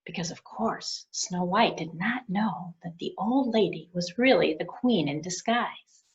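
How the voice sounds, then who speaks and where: A woman librarian narrating a fairy tale for children in an expressive storytelling manner. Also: lowish voice, a little slow. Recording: dull lo-fi recording.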